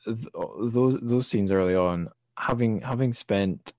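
Low-pitched man chatting as podcast host. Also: measured pace, darkish tone. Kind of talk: casual literary discussion.

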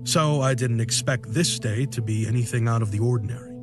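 A man's voice: mid-range voice, fairly quick, lightly acted. An actor playing a breezy, self-satisfied male realtor, telling his story conversationally in a first-person horror audio drama. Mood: matter-of-fact, reflective. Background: music.

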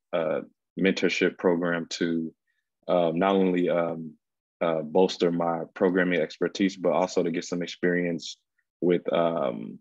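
A man with a very low, flat voice recounts things on a video call, stopping often on fillers. The sound is dry and dull, like lo-fi call audio. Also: no echo. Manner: halting, many fillers. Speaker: mentee introducing himself. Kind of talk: casual video call chat.

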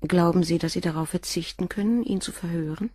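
Composed doctor's wife in a crime radio play, husky soft voice, mellow, very dry recording. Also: female voice, fairly low pitch, fairly quick, no echo. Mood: composed, guarded. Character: doctor's wife, composed and guarded under police questioning. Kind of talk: radio crime drama dialogue.

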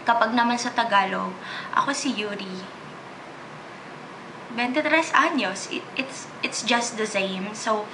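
A woman's voice: a little high, a little slow, pauses often, background noise. A woman in her twenties with a Filipino accent, chatting casually to camera from her bedroom and mixing languages. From Philippines. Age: 20s. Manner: switches freely between English, Tagalog and Spanish, chatty. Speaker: vlogger. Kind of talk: casual chat. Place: small bedroom, indoors. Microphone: camera in front, seated close.

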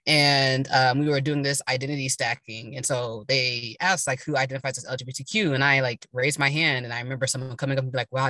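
A young adult from Kansas speaks on a panel over a video call, its voice bright and forward. The sound is dry and close, with the car interior adding no echo. The delivery is reflective and unhurried, full of small pauses. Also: medium pitch. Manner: thoughtful, thinking aloud with many fillers. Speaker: panelist, social worker. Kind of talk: casual panel talk. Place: parked car.

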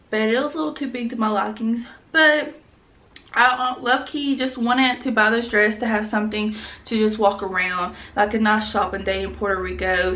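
Young woman vlogger, casual and blunt, mid-pitch, recorded slightly back from the mic at home. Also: a bit bright, far from the mic. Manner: chatty, frank, peppered with "like" and slang. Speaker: fashion vlogger. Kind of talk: casual try-on haul chat. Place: small room indoors at home.

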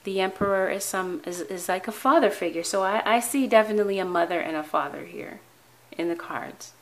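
A woman reading tarot cards for her viewers in a calm, earnest way, her voice hoarse and tired, often restarting her words. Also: medium pitch, fairly quick. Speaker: tarot reader. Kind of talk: weekly tarot reading to camera. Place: indoor tabletop with cards, voice off camera.

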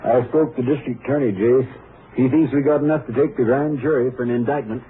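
A man acting as a county sheriff, folksy and easygoing, with a medium-pitched voice. He is plain-spoken, in old radio western style. The sound is narrow and faintly noisy. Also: fairly even intonation, a little fast, close to an everyday voice. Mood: plain, puzzled. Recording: muffled, slightly noisy vintage radio recording.

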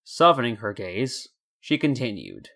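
A man reads fan fiction as its narrator. His voice is medium in pitch, and he delivers the prose deliberately, with a storyteller's sense of drama. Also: wildly swooping pitch, vocal fry, pushed and bright.

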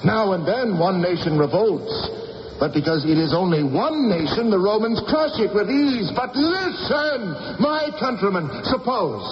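An actor playing a proud, passionate ancient British war leader in a radio drama, with a theatrical, rhetorical delivery. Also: male voice, sits high, very animated, a little slow. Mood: impassioned oratory. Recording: dull, hissy old radio recording, echoey hall.

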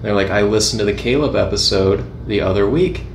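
A man's voice: fairly low pitch, brisk. A young adult man co-hosting a casual podcast, telling stories and joking. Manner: chatty storyteller, jokey. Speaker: podcast co-host. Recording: echoey room with faint noise.

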